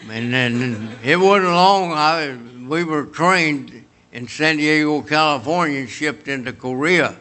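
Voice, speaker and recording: A man's voice: fairly high pitch, slow pace, few pauses. Folksy Kentucky preacher in his eighties. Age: 80s. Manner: homespun, storytelling, lively and chatty. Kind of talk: folksy sermon with personal stories.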